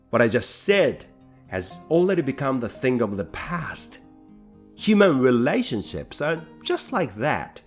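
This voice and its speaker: A man from Japan with a Japanese accent who hosts a solo podcast as a rakugo performer, talking in an earnest, reflective way with a light self-deprecating humour. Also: medium pitch.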